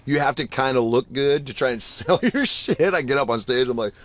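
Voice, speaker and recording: A man of about fifty, a guest musician, chatting casually on a podcast and telling animated stories full of swearing. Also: medium pitch, brisk. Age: 50s. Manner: profane, animated storytelling.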